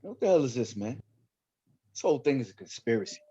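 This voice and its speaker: A man's voice: medium pitch, big pitch swings, quick pace, dark tone, husky. A man reading the part of a pushy, ranting boxing coach in a film script table read, emphatic and commanding. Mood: disgusted ranting. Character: ranting, pushy male boxing coach, conspiracy-minded, gruff. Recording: dull, lo-fi video call.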